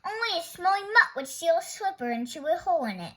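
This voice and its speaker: Lady doing a storybook monster dude with a short fuse, really high voice, yelling all indignant. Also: big pitch swings, a little fast, heavily acted. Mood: angry. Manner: shouting, indignant exclamations. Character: hot-tempered male monster, loud and easily outraged.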